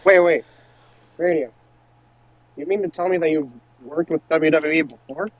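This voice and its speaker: A man's voice: a little high, normal speed, dry recording. A man hosting a casual podcast chat and putting questions to his co-hosts. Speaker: podcast host posing questions. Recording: slightly muffled home voice-chat audio.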